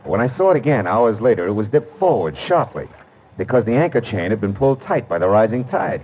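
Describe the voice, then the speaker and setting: Casting: hard-boiled American private eye, vintage radio drama; very animated pitch, phone-like sound with music underneath. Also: male voice, brisk, slight background noise. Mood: explaining, assured. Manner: hard-boiled, punchy storytelling. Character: wry, tough male private detective telling his case. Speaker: lead actor and narrator. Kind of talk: 1940s-50s American radio detective drama acting. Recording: muffled narrowband old radio, music behind.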